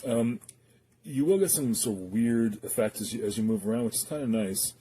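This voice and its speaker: A man giving a casual, unscripted product review as a guitar pedal reviewer, speaking in a hesitant, halting way with thoughts that trail off. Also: medium pitch, dark tone, breathy edge, low-quality recording.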